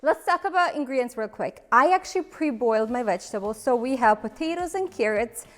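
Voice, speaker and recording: Ukrainian-accented woman with a high voice. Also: animated, brisk. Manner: chatty, laughs at own slips. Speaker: cooking show host. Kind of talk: casual cooking demonstration.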